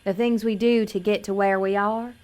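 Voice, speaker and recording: A woman playing an innocent, earnest small-town pageant girl in an audio drama, self-doubting and gentle. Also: mid-range voice, level tone, moderate pace, natural voice. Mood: earnest, consoling.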